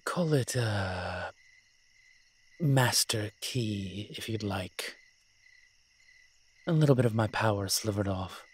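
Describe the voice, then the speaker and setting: Man acting as a slow, sly, low-voiced incubus. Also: lively intonation, breathy edge, full character voice. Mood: playful, confident. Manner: sly, teasing, unhurried, conversational. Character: smooth-talking roguish male incubus demon, playful and scheming. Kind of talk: roleplay audio drama.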